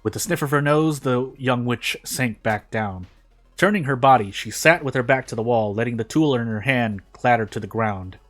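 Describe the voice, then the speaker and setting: A man's voice: medium pitch, normal speed, a bit bright. A man reading prose fiction aloud in a dramatic narrating style. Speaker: fan fiction reader. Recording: music behind the voice. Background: background music.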